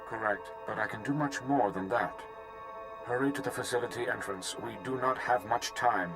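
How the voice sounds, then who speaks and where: A man's voice: medium pitch, reverberant, over music, natural voice. A man voicing a blunt, free-willed medical droid in a video game, speaking formally and plainly. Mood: composed. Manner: formal, plain-spoken, measured. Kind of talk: video game cutscene acting.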